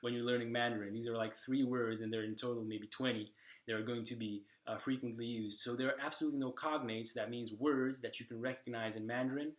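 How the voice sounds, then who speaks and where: A young man from Slovakia, talking casually to the camera in a vlog and often pausing on fillers and restarts. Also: medium pitch, fairly even intonation, roomy sound. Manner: hesitant, many fillers and restarts. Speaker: language vlogger.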